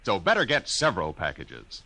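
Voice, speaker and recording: A man working as a 1950s radio announcer, reading an intro and an ad in a booming, salesman-like manner. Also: wildly swooping pitch, a little fast, vocal fry, pushed and bright, no echo.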